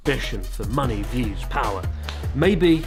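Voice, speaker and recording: A man's voice: medium pitch, lively intonation, unhurried. A man narrating a scripted video essay in a sardonic manner. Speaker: YouTube commentator. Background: background music.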